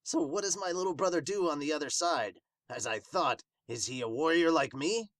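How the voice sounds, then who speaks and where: A man's voice: a little high, moderate pace, fairly bright tone, no room sound, clean studio sound, pitched above the performer's own voice. A man voicing an earnest warrior beast, a devoted big brother, in a formal, dramatic manga dub. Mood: curious.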